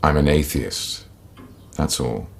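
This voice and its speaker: Haunted war veteran guy, very low husky voice, clipped dry confessions, radio play. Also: slow, full character voice. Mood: dry, candid. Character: troubled, guilt-ridden young war veteran, frank, dry. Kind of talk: radio drama acting.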